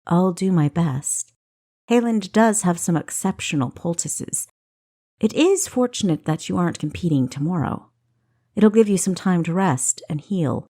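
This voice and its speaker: Woman acting a brisk, dry-witted lady doctor. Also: fairly low pitch, animated, mellow. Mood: blunt. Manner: economical, blunt.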